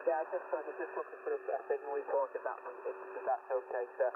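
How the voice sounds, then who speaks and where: Fast-talking man on a noisy radio link. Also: medium pitch, fairly even intonation, narrow, tinny audio. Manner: hurried, a bit halting. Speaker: amateur radio caller. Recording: distant radio signal, phone-thin with noise.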